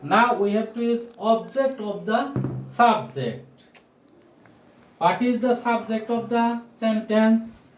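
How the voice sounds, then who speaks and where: A man teaches a grammar lesson as a non-native English speaker, in a fairly high voice. He speaks slowly and deliberately, repeating key phrases and posing questions to learners. The sound comes from some distance away from the microphone. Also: soft background music. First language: not English. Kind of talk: whiteboard grammar lesson, explanatory with rhetorical questions. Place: small indoor room with bare walls, at a whiteboard. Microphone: camera or phone mic a few steps away. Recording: roomy echo, distant camera microphone.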